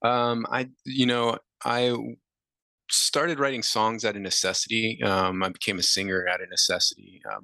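Deep gravelly-voiced male musician. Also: no room sound, rough recording. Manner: relaxed, rambling, many fillers. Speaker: musician guest. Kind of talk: casual interview answers.

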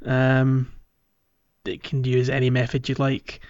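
A man's voice: medium pitch, completely flat delivery, a little slow, a little mellow, smooth, dull audio. A man co-hosting a podcast, chatting casually about a video game in a hesitant, chuckly, conversational way. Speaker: podcast co-host.

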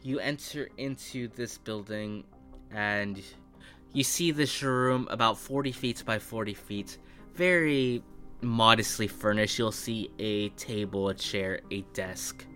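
Male game master, fairly low voice, slow pace, descriptive fantasy narration, halting phrasing, faint music underneath. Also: sharp tone. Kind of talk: improvised tabletop game narration. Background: faint background music.

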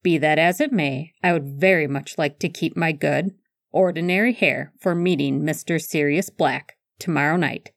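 A woman's voice: deep voice, moderate pace, no echo. A woman voicing an anxious, self-deprecating man with a dry, grumbling manner in an audiobook. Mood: wry reluctance. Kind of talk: audiobook fan fiction character dialogue.

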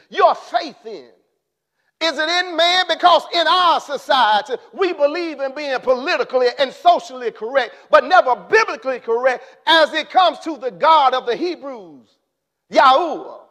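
High-pitched preacher man, gets loud then drops down quiet. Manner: impassioned, rising to shouts then dropping low. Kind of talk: sermon.

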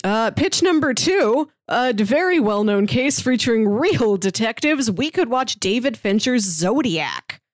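A woman's voice: fairly high pitch, animated, unhurried, few pauses, dry recording. Chatty woman co-hosting a podcast, close dry sound. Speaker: podcast co-host. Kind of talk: casual podcast chat, pitching films and reading synopses.

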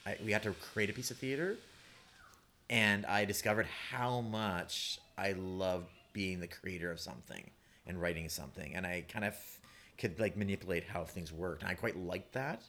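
Canadian male guest, low voice, casual rambling storyteller with self-deprecating humour. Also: moderate pace, bright, forceful tone. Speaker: playwright guest.

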